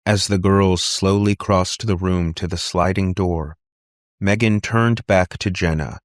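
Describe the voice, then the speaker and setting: Deep, slow-paced man narrating dramatically. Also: steady tone, no room sound, clean studio sound. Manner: deliberate, suspenseful. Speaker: audiobook narrator. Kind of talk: dramatic scripted story narration.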